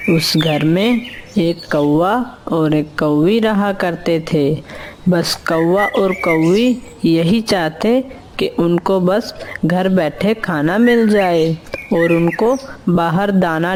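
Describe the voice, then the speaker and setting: A woman narrates a children's tale in a slightly low voice. Her reading is very unhurried and scripted, with clear noise in the background. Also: darkish tone.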